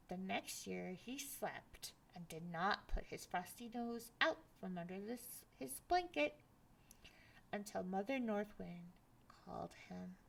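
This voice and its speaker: A woman reading a picture book aloud at library story time, expressive and dropping to hushed whispers. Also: mid-range voice, vocal fry, poor audio.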